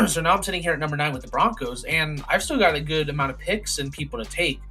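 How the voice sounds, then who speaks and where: A hoarse young man hosting a casual sports chat, rambling with lots of fillers and restarts. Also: a little high, lively intonation, fast talker, bright, forceful tone, soft background music. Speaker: podcast host doing a mock draft.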